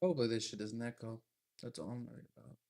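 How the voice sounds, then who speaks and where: A man's voice: mid-range voice, very fast, gravelly, a little mellow. Casual man muttering on a game stream. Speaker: live streamer. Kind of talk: casual gaming livestream chat.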